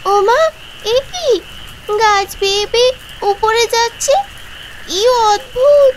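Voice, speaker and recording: A woman voicing an excitable, curious little village girl in a cartoon, with a childlike, drawn-out way of speaking. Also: extremely high pitch, slight background noise, low-quality recording. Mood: amazed, urgent. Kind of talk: cartoon voice acting.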